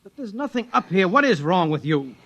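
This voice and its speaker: A man's voice: high voice, big pitch swings, brisk, fairly bright tone, dry recording, highs cut off, exaggerated voice. A man acting as a sensible suburban husband in a 1950s radio drama, theatrical, reasoning and easily exasperated. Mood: alarmed, exasperated. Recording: faintly noisy vintage radio recording.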